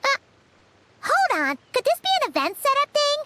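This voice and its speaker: A woman voicing a tiny, childlike fairy companion in a video game, excitable and cheeky, with a squeaky voice. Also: normal speed, a little hiss or hum, full character voice. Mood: puzzled. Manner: exclamatory, expressive, refers to self by name.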